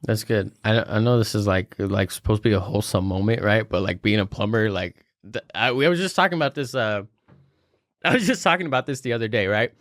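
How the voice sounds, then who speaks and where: A young man co-hosting a podcast, chatting casually and joking, with lots of fillers, his pitch jumping up high when he quotes people. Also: expressive, fairly quick, fairly bright tone, no echo, studio quality. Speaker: podcast co-host. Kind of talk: casual chat.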